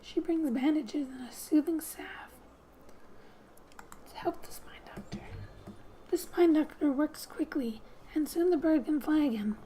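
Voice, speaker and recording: A woman speaking softly and breathily, often near a whisper, as she gently reads game text aloud for an ASMR gaming video. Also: high voice, unhurried, pauses often, slight background noise, poor audio.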